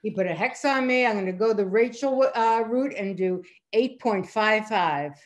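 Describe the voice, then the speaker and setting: A woman's voice: mid-range voice, moderate pace. Woman judge giving casual feedback. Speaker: guest judge, author. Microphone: video call.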